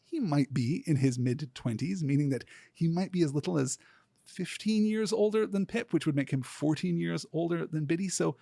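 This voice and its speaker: Husky man giving literary commentary. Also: on the high side, normal speed, dark tone, dry recording, studio quality. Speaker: host of a literature podcast. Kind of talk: scripted literary commentary narration.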